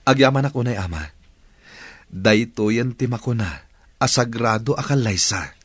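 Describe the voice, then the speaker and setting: An actor with an Ilocano accent playing a devoted, respectful young son in a radio drama. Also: male voice, mid-range voice, unhurried, slightly rough, no echo. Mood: grateful, moved. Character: devoted, respectful young adult son, earnest about love.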